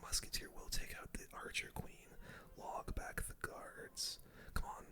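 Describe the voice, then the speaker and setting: A gaming streamer commentates in a soft, unvoiced whisper for ASMR. The whisper is breathy and extremely bright, with a sharp hiss to it. The wording is casual gamer slang, delivered close to the microphone. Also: a little slow.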